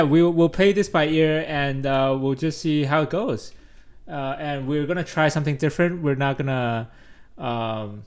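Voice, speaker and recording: Laid-back young man from Hong Kong. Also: mid-range voice. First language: Cantonese. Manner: laid-back, explanatory, laughs often. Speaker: podcast co-host. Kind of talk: casual podcast chat.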